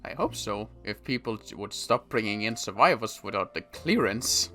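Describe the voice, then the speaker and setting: A man's voice: lowish voice, expressive, moderate pace, sharp tone, soft background music. A man playing an exasperated, dutiful guard at an apocalypse camp, grumbling yet deferential, in an improvised game roleplay.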